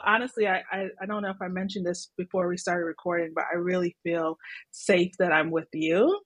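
A woman's voice: lowish voice, slightly rough, dry recording. A woman hosting a podcast interview, speaking in a friendly way that is hesitant and full of fillers. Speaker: podcast host.

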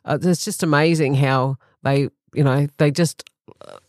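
A man with a New Zealand accent chats as a guest, describing his guided trips with upbeat enthusiasm. His voice is fairly high for a man and comes through dry and close, with no echo. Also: steady tone, unhurried. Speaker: guest, fishing trip guide. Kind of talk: casual chat interview.

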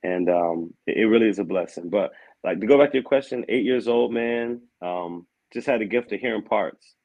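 A man in his thirties talks through his music life in a relaxed way, often saying "man" and laughing. His voice sits very low. He sounds like a guest on a casual podcast. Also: normal speed, dull audio. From Aberdeen, Maryland. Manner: easygoing, laughs often, says "man" a lot. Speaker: guest, vocal coach and assistant pastor.

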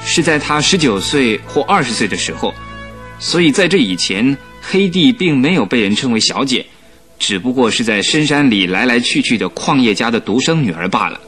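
An actor in a radio drama playing a vain young English aristocrat, affected and pompous but good-natured underneath. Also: male voice, mid-range voice, big pitch swings, moderate pace, fairly bright tone, soft background music. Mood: earnest, pompous. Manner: affected, pompous, easily flustered. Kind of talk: Chinese radio drama acting.

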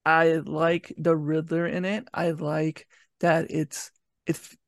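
A man's voice: on the high side, flat delivery, slow pace, creaky, no echo, clean studio sound. A man co-hosting a podcast, talking in an easygoing, slightly hesitant way in a casual review chat. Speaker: podcast co-host.

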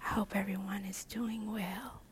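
A middle-aged woman hosting a podcast, talking in a soft, soothing ASMR whisper and repeating words as they fade away. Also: mid-range voice, fairly even intonation, a little slow, noisy background. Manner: soft unvoiced whisper throughout. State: nervous. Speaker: podcast host.

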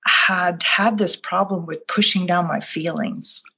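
A woman's voice: on the low side, steady tone, unhurried, vocal fry, breathy edge. A middle-aged woman from Sweden, a life coach whose first language is Swedish, talking thoughtfully about her path in an interview with many restarts. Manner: soft-spoken, thoughtful, with frequent restarts. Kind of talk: reflective interview answer.

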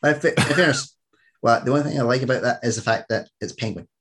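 A man's voice: mid-range voice, quick pace, fairly bright tone, slightly rough. A man hosting a podcast in casual, enthusiastic chat, joking and swearing freely. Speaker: podcast host.

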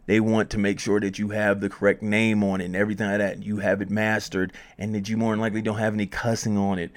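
Man, lowish pitch, casual advice talk, informal phrasing, emphatic repetition, conversational presenter. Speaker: music promotion advice presenter.

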